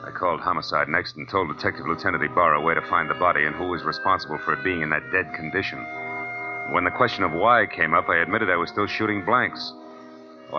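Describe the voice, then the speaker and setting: An actor playing a hard-boiled, sardonic private detective in a clipped 1940s radio drama style. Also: male voice, low voice, lightly acted. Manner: clipped, sardonic tough-guy delivery. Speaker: lead actor and narrator. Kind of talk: 1940s radio detective acting, first-person narration. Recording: dull old radio recording.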